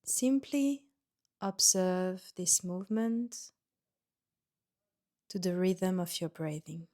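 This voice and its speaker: A woman guiding a yoga nidra meditation in a gentle, soothing manner, leaving pauses between short instructions. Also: on the low side, animated. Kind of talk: guided meditation.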